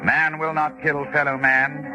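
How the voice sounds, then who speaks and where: An actor playing a calculating doctor with formal, clinical diction in an old radio drama. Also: male voice, a little high, level tone, a little slow, music in the background, close to an everyday voice, poor audio. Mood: grave narrating. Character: cool, calculating male doctor recounting his murder experiment. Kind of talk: 1940s radio acting, dramatic first-person narration.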